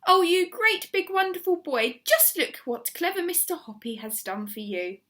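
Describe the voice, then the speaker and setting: A woman voicing an excitable, adoring lady tortoise owner in an exclaiming, effusive way for a children's story. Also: very high voice, normal speed, voice pushed up, heavily acted. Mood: overjoyed.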